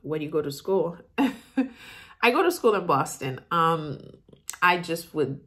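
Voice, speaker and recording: Female YouTuber, middle-aged, Haitian accent with a Southern US tinge. Low pitch, slow and hesitant, casual Q&A chat. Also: big pitch swings, sharp tone. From Haiti, moved to the US as a child and grew up in Florida. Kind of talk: casual chat, answering viewer questions. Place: room in a house, indoors.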